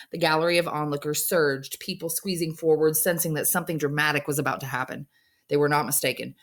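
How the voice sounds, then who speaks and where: A woman narrating a novel aloud as an English teacher would, reading scripted storybook prose. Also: sits low.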